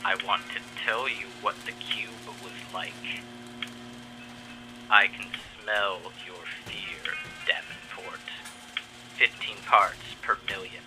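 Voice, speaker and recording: A psychotic gleeful agent, radio drama, low slow whisper, menacing, music underneath. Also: male voice, steady tone, rough recording. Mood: gloating. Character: psychotic male special agent hunting prey, gleeful. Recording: audio drama with music bed.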